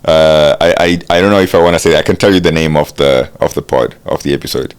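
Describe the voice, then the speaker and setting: A man in his thirties, a podcast guest, talking casually with frequent halting restarts. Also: a bass voice, smooth. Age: 30s. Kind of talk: casual chat.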